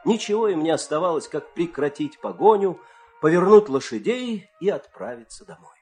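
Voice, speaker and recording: A man narrating a children's fairy-tale radio play with dramatic, expressive storytelling delivery. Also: fairly high pitch, normal speed, soft background music.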